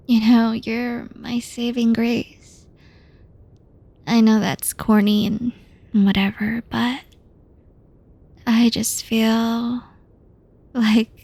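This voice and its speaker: A woman voicing a gloomy young woman who confides in a close friend, talking softly and often half-whispering, with sighs. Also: on the high side, vocal fry, dry recording, exaggerated voice. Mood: tender. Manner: soft-spoken, often half-whispered, sighing. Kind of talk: one-sided audio roleplay to the listener.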